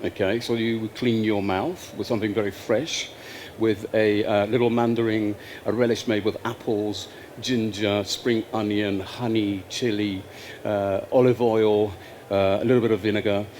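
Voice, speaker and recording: A man's voice: fairly low pitch, flat delivery, normal speed, darkish tone, slight background noise. A man from Spain who speaks English with a British accent, giving a chatty cooking demonstration and repeating words for emphasis. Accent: Spanish-born with British English accent. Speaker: chef.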